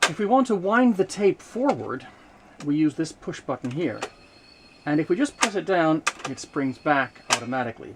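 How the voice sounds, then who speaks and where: A man giving an unscripted hands-on demonstration of vintage equipment, explaining in a measured way with hesitant pauses mid-sentence. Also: medium pitch. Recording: noticeable background noise, room ambience.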